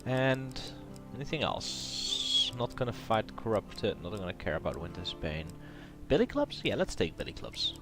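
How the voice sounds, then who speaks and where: A man's voice: on the low side, big pitch swings, unhurried, over music. Nasal man with a cold. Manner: thinking aloud, hesitant with ums. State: has a cold. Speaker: let's play host. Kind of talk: casual gameplay commentary.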